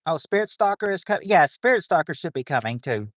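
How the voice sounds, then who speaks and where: A man's voice: fairly high pitch, very animated, a little fast, fairly bright tone, no echo. Rambling male livestream host, dry sound. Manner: rambling, reads chat aloud and greets viewers. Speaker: livestream host playing a quiz game. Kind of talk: casual livestream chat.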